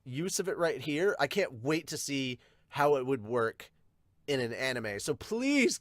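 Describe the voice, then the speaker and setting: A man's voice: fairly high pitch, fairly bright tone, no room sound, studio quality. A man giving casual, enthusiastic reaction commentary as a show host, emphatic and given to swearing. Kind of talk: casual reaction commentary with dramatic read-alouds.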